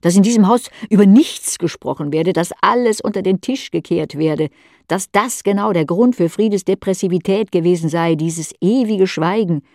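A woman's voice: lowish voice, normal speed, a little mellow, no room sound. Woman narrator, very close dry sound. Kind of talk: scripted literary second-person narration, audio drama.

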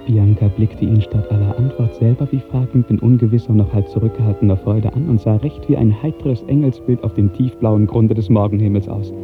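A man narrating a literary 1950s radio drama in lyrical, measured, formal diction. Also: on the low side, steady tone. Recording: tinny 1950s radio recording, music behind.

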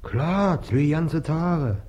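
A man's voice: on the high side, expressive, drawn-out words, warm tone, dull audio. An actor playing a stubborn, evasive working-class bargeman who speaks plain Berlin-Brandenburg dialect in a radio comedy. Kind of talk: stage-comedy radio acting.

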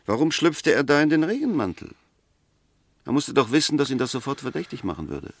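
A man's voice: medium pitch, sing-song, brisk, no echo, clean studio sound. An actor in a German radio play, playing an insistent, methodical man who argues a murder case with precise, rhetorical questioning. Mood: insistent, probing.

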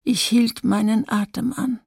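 An actress playing a sombre survivor of a wartime massacre, giving restrained testimony in a documentary radio drama. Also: female voice, a little high, steady tone, darkish tone, husky, dry recording, clean studio sound. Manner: slow, deliberate, restrained narration.

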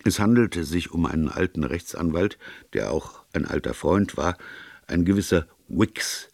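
A man's voice: a bass voice, creaky, a little mellow, no room sound. A man reading literary fiction aloud as an audiobook narrator, in scripted storytelling style.

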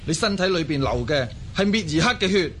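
High, scornful young Cantonese rebel. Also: male voice, expressive, fairly quick, a bit bright, a little hiss or hum. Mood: defiant, taunting. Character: defiant, scornful young half-brother, big and insolent.

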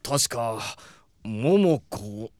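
A man's voice: medium pitch, lively intonation, extremely slow, a little mellow, no room sound, studio quality. A man playing a reassuring, familiar showbiz colleague in an anime audio drama. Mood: consoling, musing.

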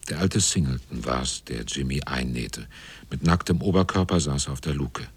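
An actor narrating a radio drama as a grave seaman, with a husky, often hushed delivery. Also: a bass voice, expressive, moderate pace, full character voice. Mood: measured, sombre.

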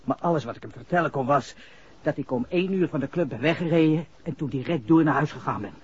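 Shifty young suspect, very husky voice, hesitant stammer, slangy, nervous, vintage radio play, muffled sound. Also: male voice, a little high, quick pace. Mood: defensive, uneasy. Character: nervous, shifty young man under police suspicion. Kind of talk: 1960s Dutch radio drama acting.

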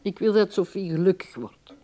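A woman's voice: on the low side, lively intonation, moderate pace, warm tone, lightly acted. An actress playing a bitter, ambitious middle-aged mother who drinks, in an intense, dramatic radio play style. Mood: insistent, wistful. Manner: intense, dramatic, swinging between speech and whispered brooding. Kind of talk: radio drama acting, dialogue and inner monologue.

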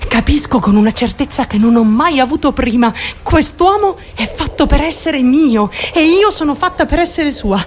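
A woman's voice: fairly high pitch, slight background noise, lightly acted. An actress playing a troubled, obsessive and fearful young woman in a radio drama monologue, intense and questioning herself as she confides in onlookers. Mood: obsessive longing. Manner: intense, self-questioning, shifting suddenly in tone.

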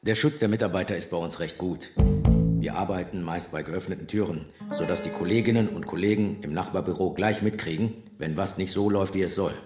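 A man's voice: low-pitched, expressive, brisk. An actor playing a sober, matter-of-fact male tax official explaining office procedures in a radio drama. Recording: echoey room with loud music.

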